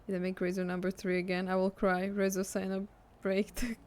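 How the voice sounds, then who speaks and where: A woman's voice: mid-range voice, a little mellow. A woman livestreamer chatting casually as she reacts to a video game. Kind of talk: casual stream chat. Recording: faint game music behind.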